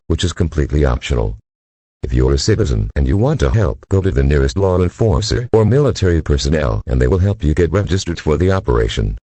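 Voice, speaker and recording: Very deep, dark-voiced male emergency announcer. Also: husky, no echo. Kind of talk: scripted emergency broadcast announcement.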